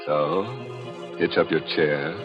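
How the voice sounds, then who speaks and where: A man's voice: rumbling low, flat delivery, takes it slowly, dull audio. A man playing a ghoulish, wisecracking host of a 1940s radio horror show, droll and mock-sinister, drawing out his words. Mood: ominous, playful. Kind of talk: 1940s tongue-in-cheek radio horror hosting. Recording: vintage 1940s radio recording with music.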